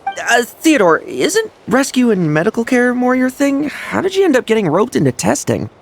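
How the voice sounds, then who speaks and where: Cocky young knight. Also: male voice, extremely high pitch, huge pitch swings, moderate pace, creaky, a little hiss or hum, heavily acted. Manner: cocky, brash, boastful. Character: young brash male knight, cocky and restless. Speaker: knight vice captain. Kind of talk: video game voice acting.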